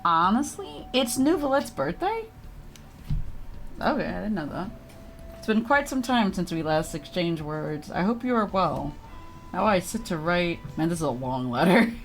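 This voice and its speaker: A woman's voice: on the low side, lively intonation, normal speed, soft background music. A woman livestreaming a video game, chatting casually and reading game text aloud in a giggly, reactive manner.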